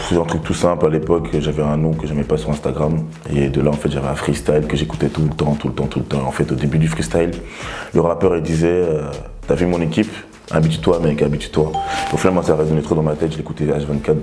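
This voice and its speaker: A man in his twenties, from Togo, answering interview questions in a relaxed, rambling way with lots of fillers. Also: extremely low pitch, fast. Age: 20s. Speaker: reality TV contestant.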